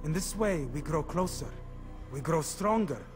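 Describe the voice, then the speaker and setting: A man's voice: on the high side, animated, unhurried. A man playing a candid, proud assassin comrade in a video game, speaking formally and pointedly with music behind him. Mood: earnest, forgiving. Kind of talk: dramatic video game dialogue.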